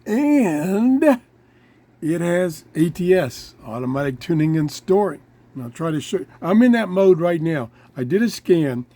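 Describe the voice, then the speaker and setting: A man with a relaxed, mellow voice set a little higher than most men's presents a casual hands-on product demonstration. He speaks slowly, in halting short pieces, pausing to think as he goes, like the host of a homemade review show.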